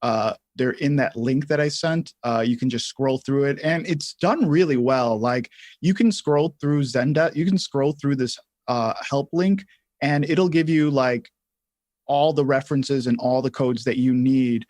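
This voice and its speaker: Man co-hosting a casual software tutorial, dry sound. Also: mid-range voice, no room sound. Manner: informal and halting, thinking aloud. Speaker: livestream co-host and software instructor. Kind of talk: casual live tutorial talk.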